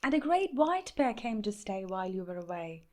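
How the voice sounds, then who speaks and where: A woman's voice: mid-range voice, big pitch swings, normal speed, a little mellow, lightly acted. A woman with an Indian accent voicing an eager, affectionate little boy in a children's storybook. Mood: earnest.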